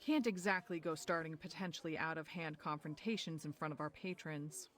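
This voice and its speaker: A woman's voice: low voice, brisk, fairly bright tone. A woman playing a feisty young bar worker in a fan-dubbed visual novel, blunt and cheeky. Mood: irritated.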